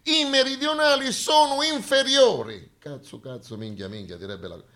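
A man's voice: extremely high pitch, lively intonation, moderate pace, sharp tone. A man performing a satirical, colloquial theatre monologue on stage, ironic and full of rhetorical questions.

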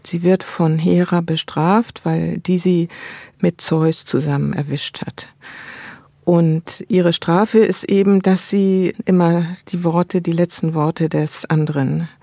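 A woman's voice: on the low side, fairly even intonation, slow pace, creaky, warm tone, no room sound, studio quality. A woman speaking as an academic interview guest, explaining ideas in a reflective way. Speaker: interview guest, literary and cultural scholar.

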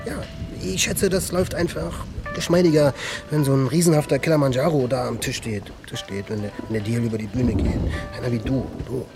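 A man's voice: mid-range voice, fairly quick, vocal fry, few pauses, natural voice. Guy doing twitchy young junkie thief, boastful, stutters n repeats everything, crime radio play w music. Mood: pleading, eager. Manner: stammering, compulsively repeats phrases. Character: jittery, boastful young male junkie thief, pushy and needy. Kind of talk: crime radio drama dialogue.

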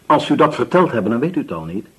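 An actor playing a sullen, defensive nineteen-year-old male army corporal in a radio drama, curt and evasive, with a raspy voice. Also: medium pitch, big pitch swings, quick pace, a bit bright, heavily acted. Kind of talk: Dutch radio drama acting.

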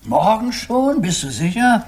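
Slow-talking old grandpa captain, very lively pitch. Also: male voice, slightly rough, heavily acted. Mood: thoughtful, humouring. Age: older. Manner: unhurried, humouring, mock-nautical. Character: playful old grandfather acting as ship's captain. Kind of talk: German children's radio play acting.

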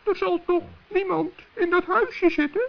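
Tiny squeaky gnome man, hesitant and polite, kids radio play, dull old recording. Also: unhurried, gravelly, full character voice. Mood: dismayed. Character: small polite, anxious male forest gnome. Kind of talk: children's radio play acting.

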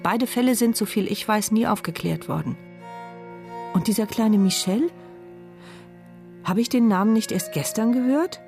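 A woman's voice: mid-range voice, normal speed, long pauses, a little mellow, soft background music, lightly acted. An actress playing a sceptical woman thinking to herself in a radio drama, dry, sardonic and reflective. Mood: wry, brooding. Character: blind, mute woman's inner voice, wry, sceptical, brooding. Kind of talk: radio drama inner monologue.

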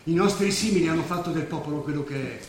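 An actor playing a solemn, idealistic old professor in a stage drama, speaking with grave, declamatory rhetoric. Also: male voice, a little high, fast talker, recorded from a distance, lightly acted. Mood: grave, resolute. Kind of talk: theatrical Italian stage oratory. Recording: echoey stage hall, faint noise.

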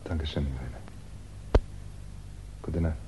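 An actor playing a polite amateur investigator and house guest in a 1960s German radio crime drama. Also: male voice, extremely low pitch, fairly even intonation, slow pace, heavy background noise. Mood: polite, subdued.

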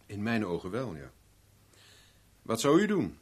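Probing investigator, lively swooping delivery. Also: male voice, lowish voice, a little slow, husky, exaggerated voice. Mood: dry, sly. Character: probing, self-assured male private investigator. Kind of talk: 1970s Dutch radio drama acting.

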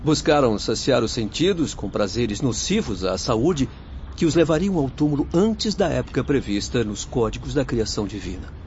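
A man's voice: medium pitch, sing-song, normal speed, a little mellow, natural voice. A man acting in a radio drama as an earnest spirit doctor with a Brazilian accent, moralizing in an emphatic, declamatory way. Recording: muffled lo-fi audio with faint noise.

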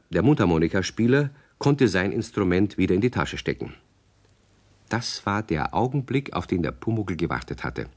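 A male narrator tells a children's story in a very deep voice. His delivery is scripted and expressive, with wide swings of melody that build suspense.

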